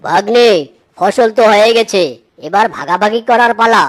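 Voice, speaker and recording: A woman's voice: medium pitch, moderate pace, loud music behind the voice, close to an everyday voice. A woman voicing a gullible, hardworking male tiger uncle in a children's cartoon, earnest and plain-spoken. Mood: trusting.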